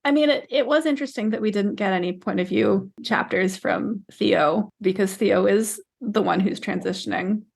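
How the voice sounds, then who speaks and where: Female co-host, medium pitch, informal discussion, measured and explanatory delivery. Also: gravelly, slightly rough. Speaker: podcast co-host. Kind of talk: casual book discussion.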